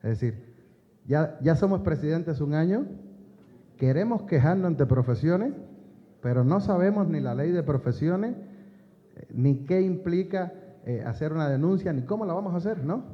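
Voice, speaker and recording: A man's voice: on the high side, lively intonation, moderate pace. A man from Cuba, president of a professional college, giving a conversational conference talk with frequent hesitations and wry asides. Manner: conversational, many filler "eh"s and restarts, wry asides. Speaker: president of a state optometry college, forum speaker. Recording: phone-like band, long hall reverb.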